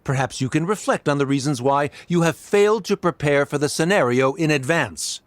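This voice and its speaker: Guy voicing a snooty cold official, formal and curt, lots of pitch swing. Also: expressive, a little fast, no room sound, lightly acted. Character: cold, condescending middle-aged male academic official. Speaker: official delivering an order.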